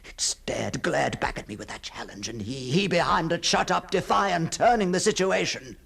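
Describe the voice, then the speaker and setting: An actor playing a haunted, obsessive gentleman telling his own ghost story as a theatrical, halting radio monologue that often sinks toward breathy whispers. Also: fairly high pitch, heavily acted. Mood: tense, intense.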